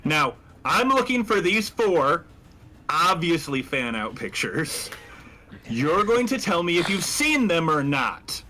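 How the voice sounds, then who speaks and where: A man's voice: fairly high pitch, big pitch swings, slow, bright, forceful tone, exaggerated voice. A man playing a brusque, commanding spaceship captain in a tabletop game, curt and intimidating.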